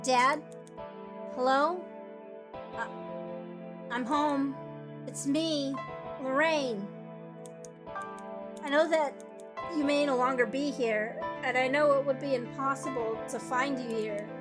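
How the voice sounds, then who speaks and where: A woman's voice: high-pitched, slow pace, pauses often. An anguished young woman acted by a female reader in a visual novel, emotional and burdened by guilt. She sounds bitter and ashamed, with music playing behind her. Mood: fragile, searching. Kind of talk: dramatic visual novel reading.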